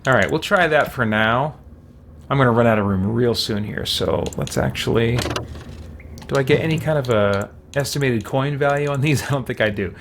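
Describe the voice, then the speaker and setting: A man's voice: medium pitch, normal speed, slight background noise. A man doing casual game livestream commentary, thinking aloud and muttering short remarks to himself as he chats to viewers.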